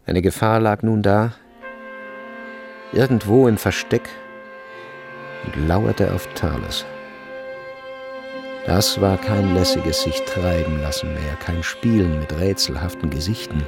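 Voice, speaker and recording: Grave radio-play narrator, slow pace, gravelly fry, measured, literary. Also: male voice, sits low, music in the background, exaggerated voice.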